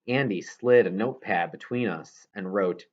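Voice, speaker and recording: A man's voice: on the low side, normal speed, dull audio. A man reading a children's novel aloud as scripted narration, now and then stumbling over a word. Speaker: audiobook reader.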